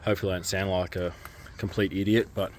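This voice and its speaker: Low-voiced man with a cold, rambling. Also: little pitch movement, quick pace, a little mellow, a little hiss or hum. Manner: rambling, hesitant, lots of ums. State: getting a cold, losing his voice. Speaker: car vlogger. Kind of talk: casual walkaround vlog.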